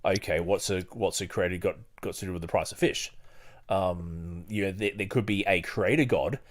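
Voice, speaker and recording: A man hosting a podcast, talking casually and haltingly as he thinks aloud or reading from a book. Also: low-pitched. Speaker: podcast host.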